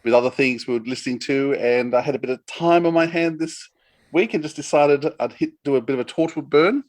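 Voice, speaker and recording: Male podcast co-host, mid-pitched, casual, enthusiastic and a bit rambling. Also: a little fast. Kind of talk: casual chat recommending audio dramas.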